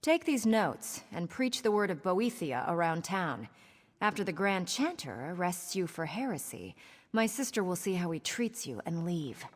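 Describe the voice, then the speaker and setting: A woman's voice: lowish voice, very animated, moderate pace, in a large hall. An actress playing a scheming, cynical pirate woman in a video game, with a sardonic, conspiratorial manner. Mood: sly, cynical. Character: scheming roguish female pirate, sly and cynical. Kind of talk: video game character dialogue.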